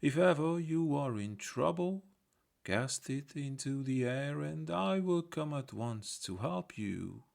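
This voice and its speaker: Man voicing an earnest raven, pitched up, creaky. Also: lively intonation, slow, darkish tone, full character voice. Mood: solemn promise. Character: grateful rescued raven, solemnly promising magical help.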